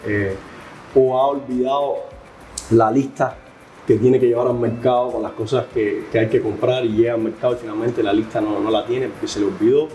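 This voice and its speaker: A man with a Cuban Spanish accent speaks as a podcast guest, explaining things in a measured way with frequent pauses and hesitations. His voice sits at an ordinary pitch, and he is recorded in a roomy, echoey space with a faint hiss of noise behind him. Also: steady tone, dark tone. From Cuba. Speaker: guest expert, professor. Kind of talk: podcast interview answers.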